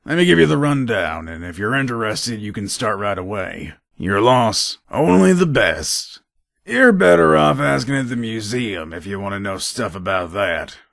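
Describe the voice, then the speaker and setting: A man's voice: lowish voice, vocal fry, fairly bright tone, no room sound, studio quality, full character voice. Man playing a shrewd gun trader, swinging intonation. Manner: casual, hard-bargaining salesman patter. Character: shrewd, businesslike male gun trader and robber. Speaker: voice actor. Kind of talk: video game character dialogue.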